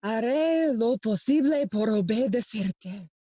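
Brooding young heir to a throne: fairly high, very slow, grief-laden Shakespearean delivery. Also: female voice, lively intonation, dark tone, no echo, clean studio sound. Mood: bitter, restrained. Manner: slow, deliberate, heavy with grief. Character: grieving, brooding young royal heir, bitter and restrained. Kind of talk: bilingual Shakespearean audio play acting.

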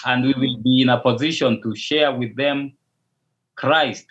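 A Kenyan pastor talks in a slow, deliberate way, often pausing and restarting. The sound is dull and lo-fi. It comes over a video call. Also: male voice, medium pitch, fairly even intonation, a bit bright. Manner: slow, measured, with hesitations and restarts. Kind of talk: casual video call interview.

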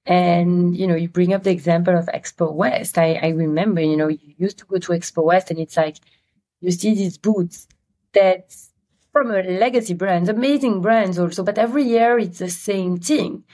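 A woman with a Belgian accent, a guest in an interview, talking in a friendly storytelling way with frequent pauses. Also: on the low side, dark tone. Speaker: guest, company CEO. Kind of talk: interview conversation.

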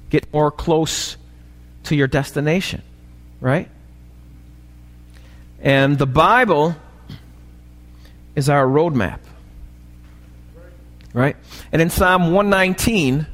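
Slow-paced American pastor in reverberant church. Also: male voice, a little high, a bit bright. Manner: asks the congregation frequent short checking questions. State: slightly raspy voice this morning. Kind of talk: sermon. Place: church, preaching live. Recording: live church recording, long hall reverb.

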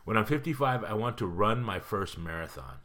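A man's voice: on the low side, sing-song, fairly quick, fairly bright tone. A man in his fifties, co-hosting a casual chat, joking and rambling with fillers and frequent laughs. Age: 50s. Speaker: podcast co-host.

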